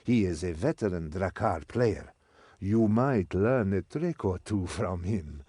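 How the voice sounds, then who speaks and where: An actor playing a stern, commanding old mentor in a game cutscene, with theatrical delivery. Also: male voice, sits low, lively intonation, slow pace, warm tone, slightly rough, no echo, full character voice. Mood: testing, instructive. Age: older. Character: stern old male mentor, servant of a master. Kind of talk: scripted game cutscene acting.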